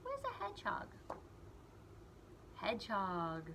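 A woman's voice: mid-range voice, wildly swooping pitch, takes it slowly, noisy background. A young woman reading a picture book aloud to small children, in simple phrases with emphasized key words. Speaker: children's read-aloud storyteller.

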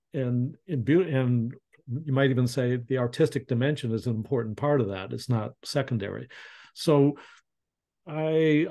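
A man's voice: medium pitch, mellow. A man in his fifties speaking as a panelist on a video call from a home study, reflective and searching for words with frequent restarts. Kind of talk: thoughtful discussion on a video call. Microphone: video call webcam.

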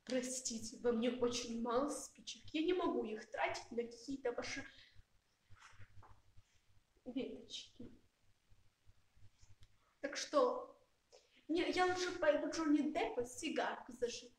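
A woman voicing a meek, polite little fire who refuses softly, in an amateur puppet play. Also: high-pitched, a little slow, pauses often. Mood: meek, apologetic. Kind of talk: amateur puppet theatre acting. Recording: very echoey room, distant mic.

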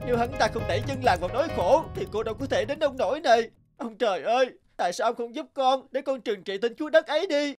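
A man's voice: very high-pitched, animated, quick pace, hardly any pauses. A man voicing an earnest, devoted young peasant in a cartoon, with a plaintive manner. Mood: grieving, desperate. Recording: music behind the voice.

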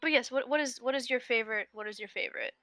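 A woman's voice: on the high side, quick pace, pushed and bright, dry recording, soft background music. A woman from Russia, speaking English as a while chatting and walking friends through a game on a stream. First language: not English. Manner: chatty, guides others through game menus. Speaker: stream host. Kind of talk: casual gaming stream chat.

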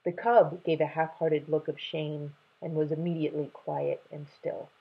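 A woman's voice: sits low, level tone, moderate pace, vocal fry, breathy edge. A young adult woman reads a story aloud expressively, recorded at home on a laptop mic. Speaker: storybook reader. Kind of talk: expressive read-aloud narration. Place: small room at home, indoors. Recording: dull, lo-fi webcam sound.

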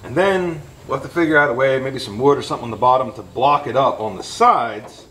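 A man's voice: mid-range voice, fairly quick, a little hiss or hum. A man in his forties hosting a car repair video, talking casually and plainly as he works on a truck in his garage. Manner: relaxed, plainspoken, explaining as he works. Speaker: car repair channel host. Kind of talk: casual DIY repair narration. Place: garage and driveway beside a truck.

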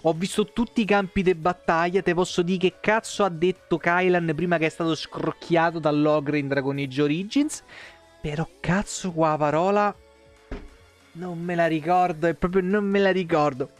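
A man's voice: a little high, normal speed, soft background music. A man in his thirties with a Roman Italian accent, chatting casually as a game streamer, rambling and swearing freely into a close desk microphone. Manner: profane, rambling, expressive. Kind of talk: casual chatty livestream commentary. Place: small room at home.

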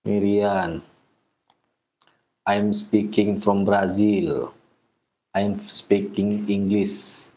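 A man in his thirties with an Indonesian accent, hosting a casual livestream from a small room at home, chatting to viewers in halting, simple English mixed with Indonesian and laughing often. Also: fairly low pitch, steady tone, mellow, low-quality recording. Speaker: livestream host caring for a baby monkey. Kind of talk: casual livestream chat reading viewer comments. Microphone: phone camera at arm's length.

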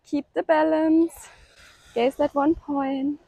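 A woman leading a home yoga workout, calmly cueing breaths and poses and encouraging the listener to keep going. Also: sits high, slow, vocal fry, slight background noise, poor audio. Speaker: yoga instructor. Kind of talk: guided workout instruction.

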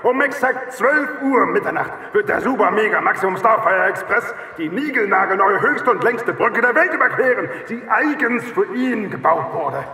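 A man playing a proud train captain in a comic audiobook, giving a pompous, formal speech through hall loudspeakers. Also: sits high, expressive, normal speed, few pauses. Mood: ceremonious. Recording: echoey hall reverb, distant PA sound.

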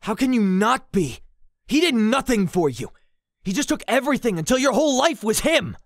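A man's voice: extremely high pitch, very animated, normal speed, exaggerated voice. A man playing a sullen, resentful teenage prince in an audio drama. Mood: bitter. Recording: music under the voice.

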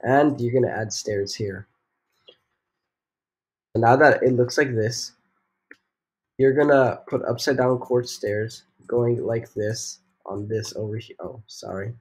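A man's voice: medium pitch, slow, long pauses, darkish tone, slightly rough, rough recording. A teenage boy voicing a casual building tutorial while sick, rambling with fillers and jokey asides. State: sick, voice a bit off. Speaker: gaming tutorial host.

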